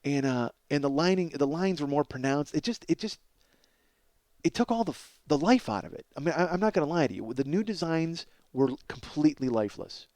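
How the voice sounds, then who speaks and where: A middle-aged man hosting a comedy podcast with a rambling, excitable monologue, self-mocking and profane, often stammering over repeated words. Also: on the high side, fairly quick, no echo, clean studio sound.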